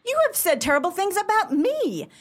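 A woman playing an indignant, sensitive, sharp-tongued young woman in a radio play, with theatrical, emphatic delivery. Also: extremely high pitch, very animated, normal speed. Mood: scornful.

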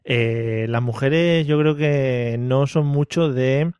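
A man with a Spain accent hosts a relaxed, chatty podcast with a teasing, joking delivery. His voice is mid-pitched and recorded close and dry, with no room sound. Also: slow pace, clean tone, no echo. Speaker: podcast host. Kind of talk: casual chat.

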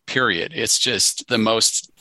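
A man with a very bright, forceful voice calls in as a fan, sounding close and dry. He speaks casually and effusively, often halting and starting his phrases again. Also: fairly low pitch, no echo. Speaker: fan calling in. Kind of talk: casual call-in question.

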